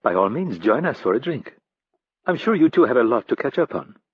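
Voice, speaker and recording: A man voicing a poised, commanding French woman journalist in an audiobook, crisp and confident. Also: medium pitch, big pitch swings, brisk, dry recording. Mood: breezy. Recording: dull, lo-fi dry audiobook recording.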